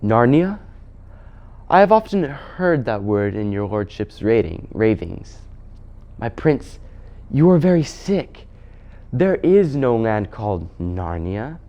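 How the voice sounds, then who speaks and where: A man's voice: fairly low pitch, very animated, takes it slowly, warm tone, highs cut off, full character voice. A man voicing an imperious witch queen who is sweetly menacing and condescending, with haughty archaic phrasing. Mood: sweetly dismissive. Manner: archaic, haughty phrasing with coaxing mock pity.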